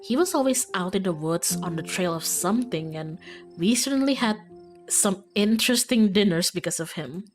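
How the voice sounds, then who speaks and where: A woman's voice: mid-range voice, lively intonation, moderate pace, close to an everyday voice. Casting: female reader as friendly small-town outdoorswoman, young, earnest, folksy and eager, visual novel character, music bed. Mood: fond, reminiscing.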